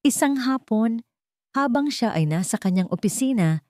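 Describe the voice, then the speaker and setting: Woman narrating drama, very lively pitch. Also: normal speed, dry recording, studio quality. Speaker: storyteller, narrator. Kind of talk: scripted dramatic story narration.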